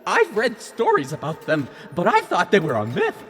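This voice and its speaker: A man's voice: high voice, huge pitch swings, normal speed, a bit bright, full character voice. A man playing a nervous, hesitant underling of the Fates in an audio drama. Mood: puzzled awe. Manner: hesitant, timid. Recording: hall reverb, music underneath.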